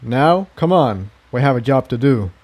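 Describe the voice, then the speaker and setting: A man's voice: medium pitch, animated, normal speed, darkish tone. A man voicing a determined, protective male pony hero who speaks with earnest emphasis in a story reading. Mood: confident.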